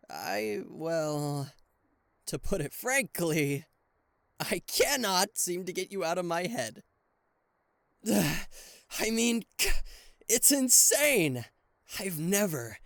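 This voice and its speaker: A man playing a charismatic, flustered king of hell as a boyfriend in an ASMR roleplay audio drama, with a theatrical, halting delivery. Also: on the high side, dry recording. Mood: reluctant, flustered. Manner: theatrical, hesitant stammering, exasperated sighs.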